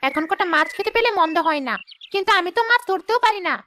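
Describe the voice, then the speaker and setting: A woman voicing a greedy, sly female river ghost who schemes aloud in an animated folk tale. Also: very high-pitched, fast, heavily acted. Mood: hungry, plotting. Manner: scheming, talking to herself.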